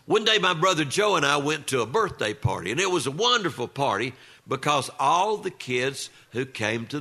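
Bright-voiced older man telling family stories. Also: a little high, vocal fry. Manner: folksy, conversational, acts out quoted dialogue. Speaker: storyteller. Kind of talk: live humorous family storytelling.

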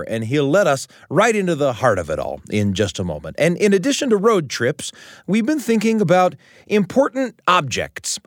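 Animated male radio host, close and dry. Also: mid-range voice, no room sound. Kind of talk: scripted, conversational radio introduction. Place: studio.

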